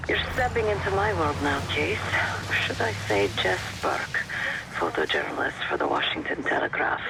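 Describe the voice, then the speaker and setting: A man's voice: sits high, normal speed, creaky, background noise. An actor playing a confident male covert handler in a video game, assured and directive. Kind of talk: video game dramatic acting.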